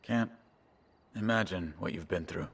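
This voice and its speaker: An actor playing a gruff, wry soldier who leads a squad in a video game cutscene, speaking tersely and with command. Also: male voice, deep voice, normal speed. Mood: soft, sympathetic. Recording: loud music behind.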